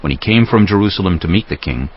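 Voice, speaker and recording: A man's voice: low voice, animated, quick pace, dull audio, a little hiss or hum. A man reading scripted Bible narration as a narrator.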